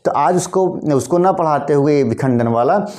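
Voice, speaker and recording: A man teaching a biology lesson to students, hoarse from a cold, repeating his points and often clearing his throat. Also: a little high, lively intonation, normal speed, a little mellow. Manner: repetitive, addresses students as kids, frequent throat clearing. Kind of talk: classroom lesson addressing students.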